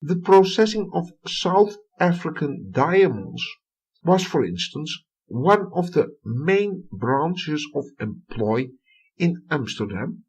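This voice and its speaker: A middle-aged man with a Dutch accent lectures on history, reading from a prepared text. His voice is husky and sits fairly high for a man. He leans on key words with deliberate emphasis. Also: sing-song, a little mellow, rough recording. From Netherlands. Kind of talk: recorded academic lecture.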